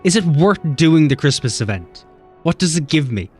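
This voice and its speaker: A man's voice: fairly high pitch, breathy edge, soft background music. A man streaming a game, chatting casually and swearing as he bickers with chat. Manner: animated, swearing, quick banter with chat.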